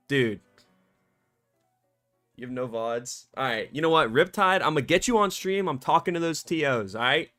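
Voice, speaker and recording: A male streamer chatting casually and excitably on a live stream, his voice a bit scratchy from cheering, in a warm home room. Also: high-pitched. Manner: excitable, slangy, rambling.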